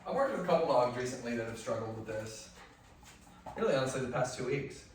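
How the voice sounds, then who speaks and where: A man's voice: mid-range voice, animated, quick pace, slight background noise. A man working as a dog trainer, explaining techniques in a conversational, instructive way while he trains a dog at home. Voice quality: loud voice. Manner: instructive, conversational, drops to soft commands to the dog. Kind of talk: hands-on training demonstration. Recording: very echoey room, mic at a distance.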